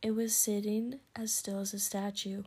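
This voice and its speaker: A woman's voice: medium pitch, little pitch movement, normal speed, slightly rough. Whispering woman reading a story aloud. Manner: soft whispering narration.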